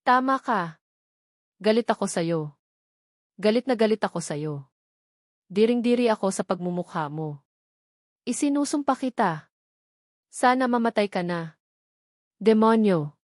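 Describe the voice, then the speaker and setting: A woman's voice: medium pitch, expressive, measured pace, pauses often, no echo, studio quality, natural voice. A woman acting a frightened, defiant young captive. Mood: furious. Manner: pleading and hissing in anger. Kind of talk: dramatic romance audio novel.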